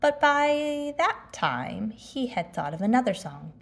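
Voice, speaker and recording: A woman narrating a children's storybook aloud in an expressive storytelling manner. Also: fairly high pitch, slow, gravelly. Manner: animated storytelling. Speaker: storybook reader.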